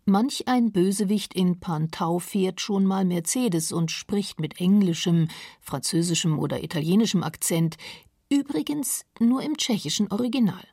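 A woman reading scripted narration for a radio documentary, measured and softly spoken, over a music bed. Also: mid-range voice, slightly rough, dry recording, clean studio sound.

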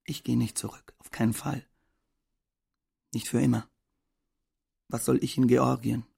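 An actor playing a disillusioned, stubborn migrant man of about thirty, gravelly and offhand, in an audio drama monologue. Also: mid-range voice, flat delivery, a little slow, a little mellow, full character voice. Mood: resigned, stubborn. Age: around thirty. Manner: offhand, shrugging, conversational.

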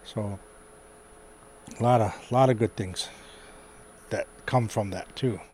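A man's voice: fairly low pitch, slow, a little mellow, a little hiss or hum. A man with a North American accent talking unscripted as an interviewee.

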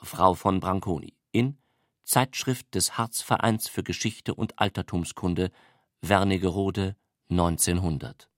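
A man reading scripted literary prose and citations in a deliberate, formal way. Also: deep voice, steady tone. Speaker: reader of quoted documents.

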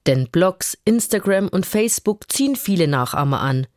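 Low-voiced woman reading a radio report. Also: expressive, moderate pace, a little mellow, no echo, studio quality. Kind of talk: scripted radio report narration.

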